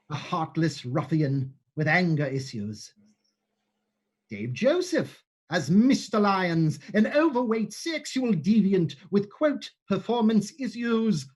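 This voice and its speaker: Slow husky-voiced man narrating. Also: sits high. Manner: dry, sarcastic, self-mocking asides. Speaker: narrator and playwright. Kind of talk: scripted narration of stage directions. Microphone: home microphone, livestream.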